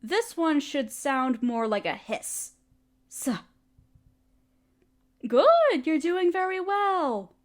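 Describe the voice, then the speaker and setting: A woman playing a motherly spider queen in a roleplay audio, speaking tenderly and patiently with gentle teasing and formal wording. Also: high voice, very animated, drawn-out words, long pauses, heavily acted. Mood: patient, encouraging. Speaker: mother figure teaching a language. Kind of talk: roleplay audio, softly acted monologue.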